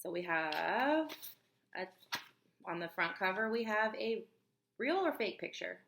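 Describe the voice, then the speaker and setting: A woman reading a children's book aloud like a teacher, expressive and emphatic, pausing to explain and put questions to her young listeners. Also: mid-range voice, gravelly, a little hiss or hum. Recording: roomy, echoey room sound.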